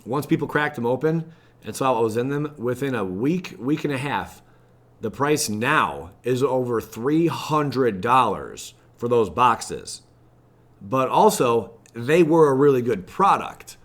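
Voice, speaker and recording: A man's voice: mid-range voice, expressive, measured pace. An American man hosting a gaming channel, chatting casually and rambling informally as he reacts to what he reads, with mildly exasperated asides. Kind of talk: casual livestream chat, reacting to web pages.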